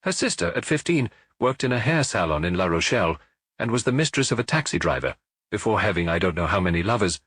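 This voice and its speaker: Man playing a pressing French police inspector, husky. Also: lowish voice, very animated, quick pace, fairly bright tone, no echo, clean studio sound, full character voice. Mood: pressing, revealing. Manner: insistent, probing questions. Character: French police inspector interrogating a suspect, firm, pressing, sympathetic.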